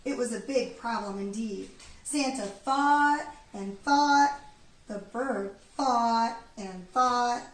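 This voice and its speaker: A woman reading a children's storybook aloud in an expressive, deliberate storytelling manner. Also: on the high side. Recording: echoey room, distant mic, background noise.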